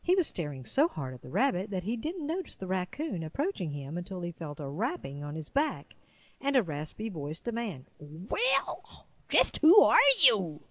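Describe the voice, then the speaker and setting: A woman narrator with a fairly low voice, reading from a script. Her pitch moves expressively, and the sound is dry and close, as in professional voice-over. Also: very animated, brisk, darkish tone, no room sound, low-quality recording. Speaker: voice-over artist and audiobook narrator.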